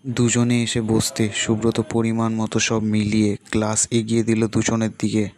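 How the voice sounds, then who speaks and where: A man's voice: fairly low pitch, completely flat delivery, normal speed, darkish tone, slight background noise. Man narrating a ghost story.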